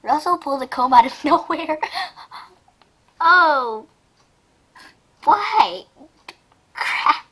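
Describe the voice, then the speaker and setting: A woman with a high, very lively voice reads a story aloud in a casual way. She is giggly and exclamatory, often breaking off to throw in her own comments. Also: extremely slow, long pauses. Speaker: amateur reader reacting to fan fiction. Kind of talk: casual reaction read-aloud with asides.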